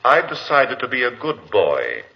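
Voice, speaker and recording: A man's voice: mid-range voice, huge pitch swings, measured pace, gravelly, heavily acted. Sardonic, swooping aristocratic man. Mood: mocking. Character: sardonic, mischievous, quarrelsome male aristocrat. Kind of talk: old-time radio drama acting. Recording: dull vintage radio recording.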